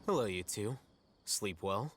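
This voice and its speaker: A man voicing a calm, self-assured, pedantic scholar in a game with measured, deadpan delivery. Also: lowish voice, a little hiss or hum. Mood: polite. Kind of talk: animated game voice acting.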